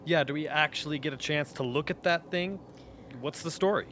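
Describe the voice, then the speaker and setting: Man acting bright-voiced, skeptical expedition cook. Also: fairly high pitch, a little fast, natural voice. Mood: needling. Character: skeptical, needling male cook at polar base. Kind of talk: radio drama acting. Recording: faint background noise.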